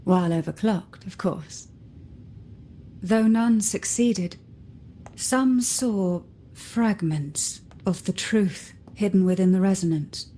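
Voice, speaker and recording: A woman playing an enigmatic, composed noblewoman in a video game, speaking softly in a deliberate, formal, poised manner. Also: mid-range voice, slight background noise, lightly acted, poor audio. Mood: grave, mysterious.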